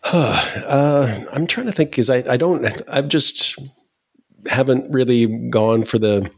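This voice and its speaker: A man in his forties, a podcast host, chatting casually in a deadpan way with halting restarts. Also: medium pitch, breathy edge, dry recording, clean studio sound. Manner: halting, many restarts and fillers. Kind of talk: casual conversation.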